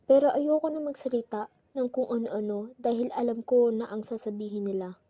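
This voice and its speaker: A young woman plays an anxious, cautious narrator in a student radio drama. Her voice is fairly high and very mellow, earnest in the telling, on a dry, slightly dull recording. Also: fairly quick, dry recording, lightly acted. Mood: anxious, foreboding. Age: young adult. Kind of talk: scripted first-person radio drama narration.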